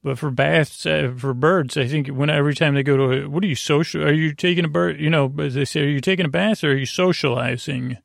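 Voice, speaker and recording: Creaky, meandering man hosting a sleep podcast. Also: fairly high pitch, brisk, few pauses, no echo, studio quality. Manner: meandering, hesitant, goes off on whimsical tangents. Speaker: podcast host. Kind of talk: rambling bedtime sleep podcast monologue.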